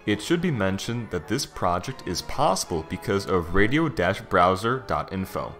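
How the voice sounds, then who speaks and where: Male tech reviewer, low register, informal and conversational screen-demo narration over background music. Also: big pitch swings, normal speed, fairly bright tone. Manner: informal, conversational, thinking aloud. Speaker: software reviewer. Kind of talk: casual screen-recorded software walkthrough.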